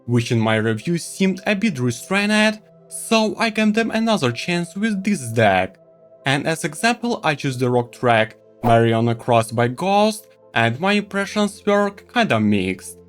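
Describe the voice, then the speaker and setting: Guy doing a tech review, really animated pitch, soft music behind him. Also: a little slow. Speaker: product reviewer. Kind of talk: semi-scripted video review narration.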